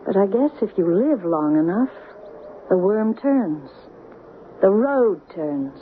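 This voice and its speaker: A woman playing a reflective, philosophical character in a radio drama, speaking deliberately. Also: mid-range voice, very animated, phone-quality sound. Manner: slow, deliberate. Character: reflective, philosophical woman weighing her luck. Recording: thin, noisy old radio broadcast.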